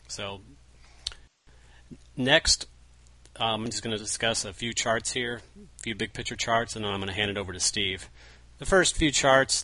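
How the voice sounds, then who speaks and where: A man with a bright, slightly low voice talks through market charts in a casual way. He restarts phrases and fills gaps with small hesitations. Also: no room sound. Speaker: newsletter market analyst co-host. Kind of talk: market commentary over charts.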